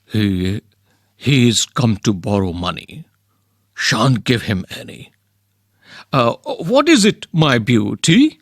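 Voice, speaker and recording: A man's voice: medium pitch, big pitch swings, takes it slowly, long pauses, no room sound, studio quality, heavily acted. A man reading the part of an effusive old landowner in a play, gushing and full of endearments. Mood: impatient.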